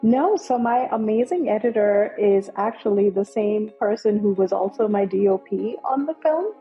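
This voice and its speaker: A woman's voice: medium pitch, animated, moderate pace, muffled recording, soft background music. A woman film director from Nepal, speaking as an interview guest in an upbeat, enthusiastic way with many fillers. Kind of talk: casual interview.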